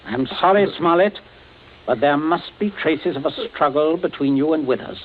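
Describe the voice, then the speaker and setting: A man's voice: on the high side, normal speed, lightly acted. An actor playing a coolly menacing middle-aged father who gives curt, icily polite commands in a vintage radio suspense play. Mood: commanding. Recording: tinny, hissy old radio broadcast.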